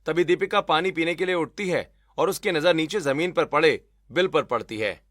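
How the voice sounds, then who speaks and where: A man's voice: on the high side, normal speed, a bit bright. A man reading scripted narration for a cartoon story.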